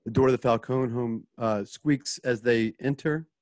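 A male narrator reads scripted stage directions for a radio play. His voice has a middle pitch and is recorded dry, with little room sound. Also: fairly even intonation, moderate pace, darkish tone, no room sound. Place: home, on a group video call.

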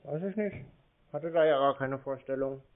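A man's voice: medium pitch, normal speed. A man in a casual phone conversation, playing an obtuse customer who stalls and answers with questions. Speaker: prankster playing a clueless customer. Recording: narrow phone-like sound.

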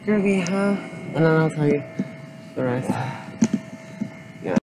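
A man's voice: a little high, expressive, slow pace. A young man filming a street vlog from a handheld camera, outdoors and in a car, upbeat and repeating a stock thank-you phrase. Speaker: vlogger asking strangers their sign. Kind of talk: street vlog, asking passersby. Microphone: handheld camera at arm's length. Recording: noisy outdoor phone video.

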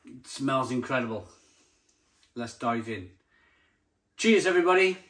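A man presents an informal tasting review in a medium-pitched voice. He speaks off the cuff, straight to the viewer, with open enthusiasm. He likes to linger on descriptive words and repeat them as he savours what he is describing. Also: expressive, unhurried, fairly bright tone. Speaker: beer review channel host. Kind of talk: casual unscripted tasting review to camera.

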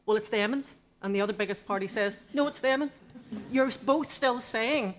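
A woman's voice: a little high, brisk, slight background noise. Insistent Northern Irish woman in audience. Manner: exasperated, insistent. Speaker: audience member. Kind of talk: televised debate comment. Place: television studio audience.

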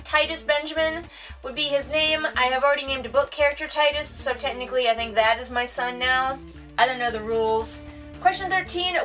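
A woman's voice: high voice, bright, forceful tone, recorded from a distance. A woman in her thirties with a Wisconsin American accent, reading tag questions aloud at home and answering them with a dry, joking manner. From United States. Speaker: vlogger. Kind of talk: casual question tag vlog. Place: home room with bookshelves, indoors. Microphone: camera mic at a distance.

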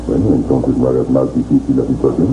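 Very deep-voiced reassuring telenovela gentleman. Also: steady tone, brisk. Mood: firm, persuasive. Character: protective male relative, firm, calmly reassuring. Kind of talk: 1970s telenovela acting. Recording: muffled, noisy, echoey old TV tape.

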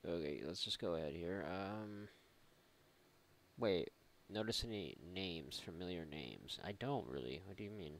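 A man's voice: deep voice, lively intonation, unhurried, slight background noise. A man doing casual, irreverent let's play commentary, mocking and thinking aloud. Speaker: gaming YouTuber.

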